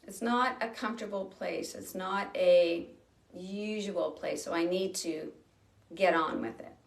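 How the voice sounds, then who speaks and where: A woman leading a guided breathing meditation, speaking slowly and gently with long pauses. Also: lowish voice, creaky, distant mic. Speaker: meditation teacher. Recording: roomy sound from off the mic.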